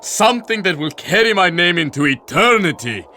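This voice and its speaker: A man's voice: high-pitched, big pitch swings, normal speed, bright, forceful tone, full character voice. A man playing an imperious, vain pharaoh, declaiming pompously in a comic audio drama. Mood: impatient, pompous. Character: imperious, vain Egyptian pharaoh demanding grandeur. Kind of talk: comic audio theatre acting. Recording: clear background noise and ambience.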